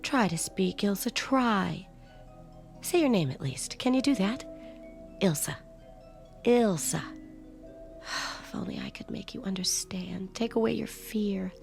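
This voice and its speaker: An actress playing a tender, pleading, middle-aged housewife in an old-time radio drama, protective of an orphaned child. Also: female voice, medium pitch, lively intonation, slow pace, gravelly, pauses often. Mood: tender, sorrowful. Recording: vintage-style radio drama, music underneath.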